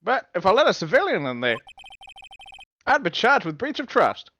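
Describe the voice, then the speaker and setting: A man voicing a nervous, stubborn, rule-bound police officer in a live game dub, with hurried delivery. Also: high-pitched, wildly swooping pitch, sharp tone, slight background noise, heavily acted. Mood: flustered, refusing. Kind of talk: live fan dub of a visual novel game.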